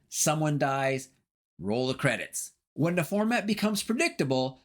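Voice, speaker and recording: Man narrating, lively swooping pitch. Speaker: video essay narrator. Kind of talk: scripted narration analyzing horror.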